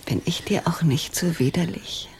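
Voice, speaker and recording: A woman's voice: on the low side, moderate pace, vocal fry, darkish tone, whispered. An actress playing a passionate, determined female accomplice and lover in her thirties in a German radio crime drama, intimate and intense. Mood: coolly conspiratorial.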